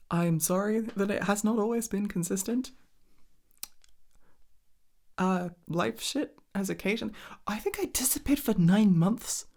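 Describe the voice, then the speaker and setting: A woman's voice: mid-range voice, darkish tone. A woman rambling casually and intimately to the listener, informal and sweary, with a giggly, self-deprecating way of talking. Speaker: ASMR content creator and roleplay performer.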